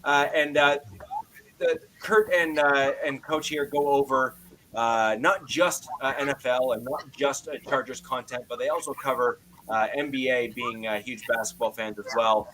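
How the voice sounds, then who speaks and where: A man hosting a casual sports podcast over a video call, loud-voiced and loose, filling his sentences with "uh". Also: mid-range voice, normal speed, low-quality recording. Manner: loose, filler-heavy, frequent "uh". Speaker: podcast host.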